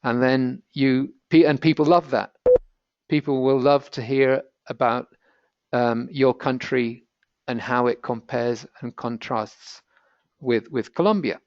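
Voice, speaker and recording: A man's voice: medium pitch, steady tone, takes it slowly, no room sound, dull audio. A British man with a British accent, presenting an encouraging, patiently explained language lesson in a webinar. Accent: British English. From Britain.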